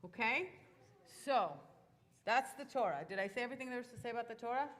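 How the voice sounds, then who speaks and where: A woman's voice: a little high, fast talker, a bit bright. A woman from Israel, a Hebrew speaker, explaining things to a youth group in a chatty, informal way with plenty of fillers. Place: synagogue sanctuary. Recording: echoey hall.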